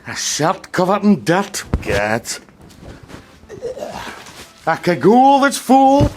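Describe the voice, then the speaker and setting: A man plays a silly pantomime comic with a highish voice that leaps wildly up and down. He delivers squeamish, jokey patter with big exclamations, over faint music. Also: wildly swooping pitch, takes it slowly, heavily acted. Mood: disgusted, playful.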